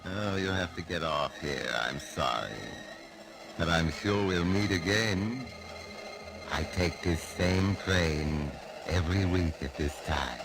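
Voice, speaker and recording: An actor playing a sinister yet genial mysterious traveler, narrating a vintage radio mystery with wry insinuation. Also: male voice, deep voice, slow, music in the background. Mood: genial menace. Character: sinister, genial mysterious train traveler who tells strange tales. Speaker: storyteller host.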